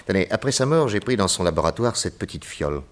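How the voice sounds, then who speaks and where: An actor playing a calm, shrewd middle-aged detective in a radio drama, speaking in a measured, grave and deliberate way. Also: male voice, sits low. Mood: explaining. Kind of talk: 1940s radio acting.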